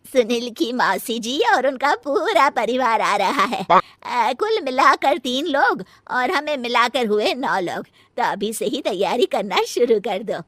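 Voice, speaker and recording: A bright, high female voice from an actress plays the mother-in-law who runs the house. She is bossy and practical, giving orders as in a cartoon family story. The recording is dry. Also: sing-song, a little slow, dry recording, exaggerated voice. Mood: instructing. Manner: bossy, matter-of-fact, calling out orders. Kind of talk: acted Hindi family comedy story.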